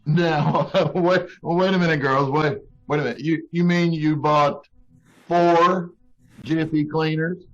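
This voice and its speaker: Man acting a slow, flirty, baffled salesman. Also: on the high side, steady tone, muffled recording. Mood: incredulous, uneasy. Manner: hesitant, chuckling, throat-clearing. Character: smooth-talking flirtatious salesman, easily baffled. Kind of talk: old-time radio comedy acting.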